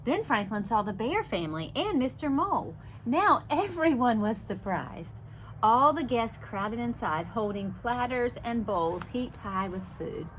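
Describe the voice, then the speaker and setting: A woman reading a children's picture book aloud as a preschool teacher, animated, with asides and questions to young listeners. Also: fairly high pitch, a little slow, slight background noise.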